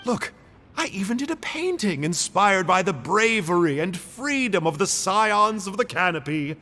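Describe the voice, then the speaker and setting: A man's voice: high voice, big pitch swings, moderate pace, pushed and bright, in a large hall, soft background music, exaggerated voice. A man voicing a cheerful, cheeky male agent of a villainous order, mock-polite and teasing.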